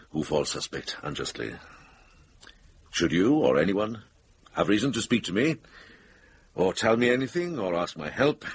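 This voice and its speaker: An actor playing a shrewd, brisk middle-aged monk investigator in a radio drama, measured and direct. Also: male voice, sits low, slightly rough, heavily acted. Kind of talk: British radio drama acting.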